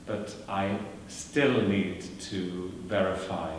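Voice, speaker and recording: A man whose first language is Welsh lectures in a measured, reflective manner, pausing often with fillers and restarting his sentences. His voice sits a little low. He is recorded from afar in a large, reverberant hall with noticeable background noise. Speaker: performer giving a talk. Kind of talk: lecture answering audience questions. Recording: echoey hall recorded from a distance.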